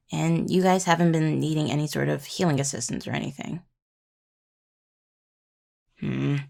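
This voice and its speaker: A woman playing an affectionate, teasing necromancer in an intimate audio roleplay, talking softly and playfully to a partner, with a husky, gravelly voice. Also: low voice, full character voice. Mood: caring. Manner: soft, playful, casual delivery with sighs and murmured asides. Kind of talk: intimate audio roleplay acting, addressed to a listener.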